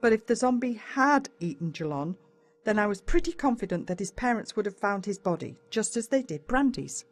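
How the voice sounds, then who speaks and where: A woman with an Australian accent narrates a children's story in the first person, in a dramatic, expressive way. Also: medium pitch, normal speed. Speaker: audiobook narrator.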